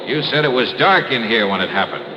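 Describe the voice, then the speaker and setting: A man playing a wry insurance investigator who fires dry, skeptical questions at people. His voice sits in the middle range and comes through a dull old radio broadcast with loud hiss all around. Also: medium pitch, sing-song, a little fast, lightly acted. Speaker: investigator and narrator. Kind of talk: 1950s radio drama acting.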